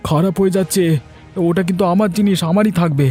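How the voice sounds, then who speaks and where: A man's voice: sits high, fast talker, dark tone, faint music behind, lightly acted. A man voicing a defiant, wronged villager in a cartoon, protesting indignantly. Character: defiant wronged male villager defending his pond. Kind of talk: cartoon dialogue.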